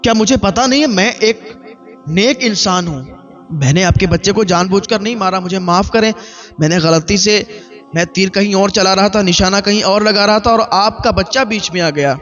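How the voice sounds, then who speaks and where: A man's voice: high-pitched, a bit bright. A man voicing a frightened young foreign laborer who pleads and begs for forgiveness. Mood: pleading. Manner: pleading, desperate. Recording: dull lo-fi with faint music.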